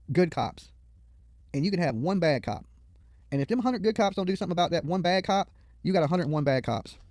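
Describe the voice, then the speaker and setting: A man's voice: sits high, darkish tone, no room sound, clean studio sound. A man hosting a livestream with casual, blunt, opinionated commentary and plenty of colloquial swearing. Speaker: YouTube livestream host. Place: studio.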